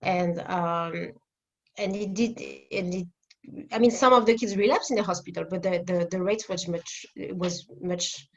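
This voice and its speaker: A woman's voice: lowish voice, steady tone, a little slow, highs cut off. A woman in her forties from France with a French accent, a guest therapist answering interview questions with many fillers and restarts over a video call.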